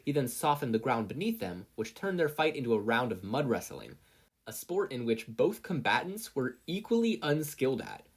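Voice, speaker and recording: A narrator with a husky voice reading fan fiction as scripted audiobook-style narration. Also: mid-range voice, big pitch swings, moderate pace.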